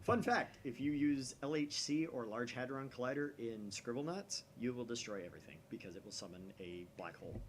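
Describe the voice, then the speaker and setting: An American man hosting a gaming let's-play, nerdy and animated, chatting casually and reading a visual novel aloud. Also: medium pitch, fairly quick, few pauses, a little hiss or hum.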